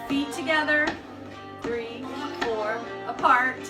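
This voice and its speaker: A woman with a slightly high voice leads a preschool storytime at a very slow pace. She uses short, simple phrases and an encouraging singsong, with music clearly audible. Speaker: library storytime leader for preschoolers. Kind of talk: children's storytime reading and guided action song.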